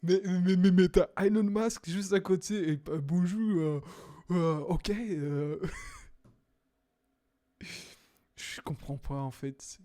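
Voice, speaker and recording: A young man casually reacting to videos, hesitant with many fillers, sometimes bursting into loud exclaiming. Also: high voice, slow, long pauses. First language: not English.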